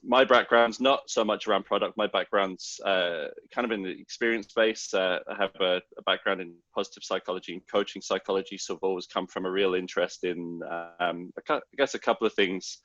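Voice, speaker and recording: A man's voice: sits low, steady tone, brisk, few pauses, a bit bright, dry recording, highs cut off. A man with a Scottish accent, a co-founder guest explaining his ideas at length and thoughtfully over a remote call. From Scotland. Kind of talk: casual interview talk.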